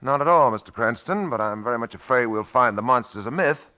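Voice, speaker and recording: An actor playing a confident, smooth-talking radio commentator in a 1940s drama. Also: male voice, mid-range voice, animated, brisk, close to an everyday voice. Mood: self-assured. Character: brisk, self-assured male radio commentator. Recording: muffled old 1940s radio recording.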